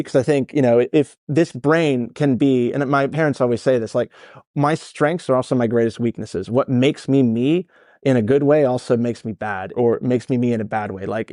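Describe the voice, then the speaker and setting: A Dutch-born man in his thirties who grew up in California and speaks with an American accent, an energetic and rambling podcast guest who swears casually. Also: medium pitch, no room sound, clean studio sound. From Netherlands, raised in California. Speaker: guest, startup founder.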